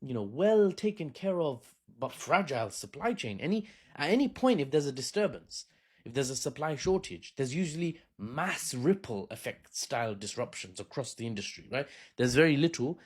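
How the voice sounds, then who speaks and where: A man hosting a casual political commentary, animated and joking with sarcastic asides. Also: medium pitch, normal speed, breathy edge. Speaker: host.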